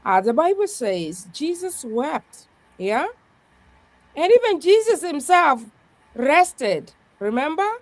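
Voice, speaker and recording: Higher-voiced woman pastor with a strong voice. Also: very animated, slow, dry recording. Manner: earnest, repeats "yeah" often. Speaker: pastor, guest. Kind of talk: podcast conversation, reflective.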